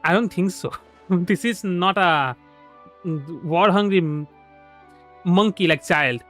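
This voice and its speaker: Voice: mid-range voice, slow, a bit bright. A streamer giving casual, off-the-cuff commentary while playing a video game. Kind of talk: live gaming commentary, casual chat. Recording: music behind the voice.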